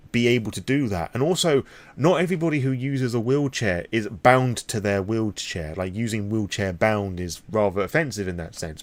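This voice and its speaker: Low-pitched British man, blunt vlogger. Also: dry recording. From Britain. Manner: blunt, sweary, emphatic. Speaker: vlogger on roleplaying games. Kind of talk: impromptu opinionated personal ramble to viewers.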